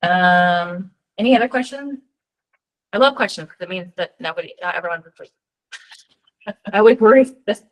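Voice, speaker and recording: A woman's voice: mid-range voice, normal speed, pauses often, rough recording. A woman presenting data tools at an online work meeting, talking in a chatty, informal way with frequent ums and friendly asides. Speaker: data team presenter at a state EMS registry meeting. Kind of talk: virtual meeting presentation with screen share.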